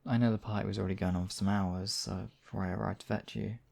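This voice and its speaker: A man playing a demon king in an intimate ASMR roleplay, husky and theatrical, with deliberate phrasing and lingering pauses. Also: lowish voice, steady tone, darkish tone. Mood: tender, concerned. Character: demon king, menacing captor act turning tender, devoted lover.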